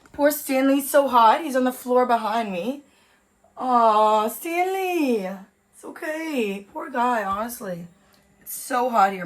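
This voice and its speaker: Hoarse, chatty young woman vlogging. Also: fairly high pitch, distant mic. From Canada. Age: young adult. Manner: bubbly and chatty, uses "like" and "you guys" often. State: hoarse from a cold. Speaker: beauty vlogger. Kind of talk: casual chatty vlog. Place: at home on a hot day.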